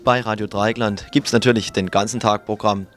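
Male radio host for programme previews, fairly low register, music bed underneath. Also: fairly even intonation, fairly quick. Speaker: radio presenter. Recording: music behind the voice.

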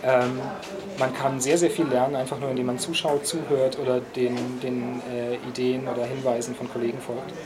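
A man's voice: mid-range voice, level tone, moderate pace, crowd noise. A man who volunteers at a repair café, explaining things in an interview with many hesitations. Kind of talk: interview answers.

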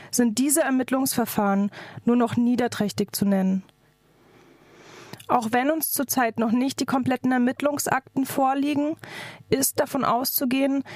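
A woman's voice: a little high, darkish tone, no room sound. A woman activist reading out a scripted political speech. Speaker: activist speaker.

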